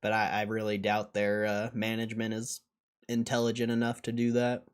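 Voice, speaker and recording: A man's voice: lowish voice, monotone, moderate pace, smooth. A man hosting a casual livestream, commenting in an offhand, skeptical way. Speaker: livestream host.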